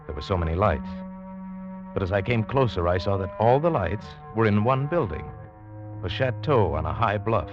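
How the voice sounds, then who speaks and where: An actor playing a shipwrecked big-game hunter who narrates his ordeal dramatically and deliberately in a vintage radio drama. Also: on the low side, lively intonation, natural voice. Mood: tense, narrating. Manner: dramatic, deliberate, halting phrasing. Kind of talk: 1940s-50s radio drama acting, first-person narration. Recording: dull vintage radio recording, music underneath.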